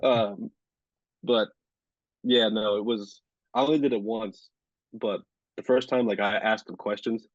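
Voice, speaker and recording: Low monotone young man, lo-fi. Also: a little slow, pauses often, no echo. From Rhode Island. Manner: monotone delivery, filler-heavy. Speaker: sports reporter and anchor, podcast guest. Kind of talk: casual interview chat.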